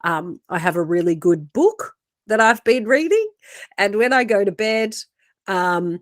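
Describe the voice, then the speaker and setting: A woman's voice: medium pitch, lively intonation, a little slow, dry recording, clean studio sound. Playful woman podcast co-host. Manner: playful, teasing, laughs often. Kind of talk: casual chat.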